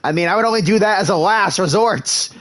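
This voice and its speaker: A man's voice: high-pitched, fairly quick, fairly bright tone. A man doing live let's-play commentary, playful and hammy, with role-played replies and stammering asides. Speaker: gaming streamer. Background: game music.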